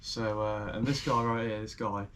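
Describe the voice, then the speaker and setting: A man's voice: on the low side, level tone, moderate pace, a crowd in the background. A man hosting a reaction video, commenting bluntly and swearing in casual chat.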